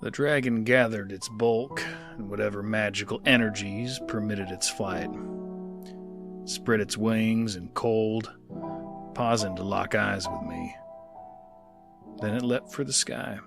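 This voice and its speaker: An actor narrating as a weary gunslinger in an audio drama, with a dry, laconic delivery. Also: male voice, fairly low pitch, gravelly. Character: weary male gunslinger narrating his tale.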